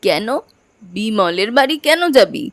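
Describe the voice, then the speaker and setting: A woman's voice: mid-range voice, lively intonation, a little slow, no echo, lightly acted. A woman voicing a weary, kindly old village grandmother in a cartoon. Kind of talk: cartoon voice acting.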